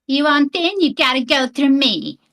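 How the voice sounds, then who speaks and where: A woman voicing a crotchety, bossy old woman working as a security guard, with loud, gruff, drawn-out lines. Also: sits high, sing-song, voice pushed up, heavily acted.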